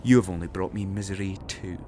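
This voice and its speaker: A man's voice: low voice, steady tone, fairly quick, noisy background, close to an everyday voice. An actor playing a bitter, proud king in an audio drama, brooding and intense, with music behind him. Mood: bitter, defiant. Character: bitter, proud young king hungry for godlike power.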